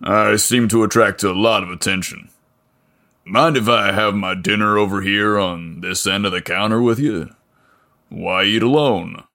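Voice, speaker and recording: A burly small-town mechanic with a rough, deep voice and an easy, friendly drawl, as a male narrator puts him on. He talks slowly in a storybook reading. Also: bright, forceful tone, exaggerated voice.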